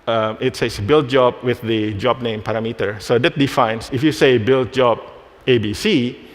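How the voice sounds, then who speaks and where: A man from Indonesia giving a live technical presentation on a conference stage, restarting phrases as he works through a demo. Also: mid-range voice. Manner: halting, restarts phrases while demoing. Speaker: conference speaker. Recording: hall reverb.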